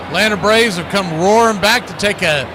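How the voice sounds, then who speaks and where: A man's voice: high-pitched, normal speed, music in the background, poor audio. A man doing live radio-style baseball play-by-play in clipped, punchy phrases with folksy slang.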